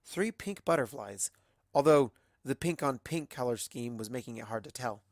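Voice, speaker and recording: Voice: mid-range voice, lively intonation, moderate pace, no echo, clean studio sound. A narrator reading a first-person story expressively. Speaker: fan fiction narrator.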